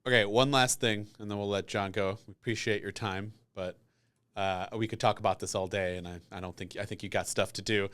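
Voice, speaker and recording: A man's voice: fairly low pitch, fairly even intonation, brisk, a bit bright. A man hosting a podcast, chatting in a casual, rambling, conversational interview style. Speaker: podcast host.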